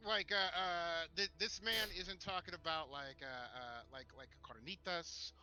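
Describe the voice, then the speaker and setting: A man's voice: fairly high pitch, a little slow, pushed and bright, dull audio. A man co-hosting a casual discussion, making his points emphatically and stammering over repeated words. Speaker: show co-host. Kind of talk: animated casual opinion and discussion.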